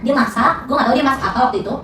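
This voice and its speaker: A young woman tells a story in a quick, loose, chatty way, full of fillers and restarts. Her voice sits a touch high. She sounds far from the mic in an echoey room, with a crowd murmuring behind her. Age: young adult. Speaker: student podcast storyteller. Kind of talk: casual storytelling chat.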